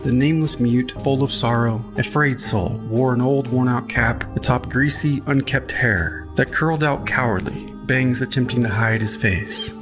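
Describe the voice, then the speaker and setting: A man reading scripted literary fiction as a podcast narrator, with a measured storytelling delivery. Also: mid-range voice. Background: music, guitar.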